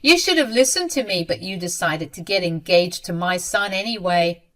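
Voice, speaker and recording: A woman's voice: on the low side, lively intonation, moderate pace, a bit bright. A woman voicing a cruel, snobbish middle-aged mother-in-law in a dramatized story, haughty and scornful. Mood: gloating.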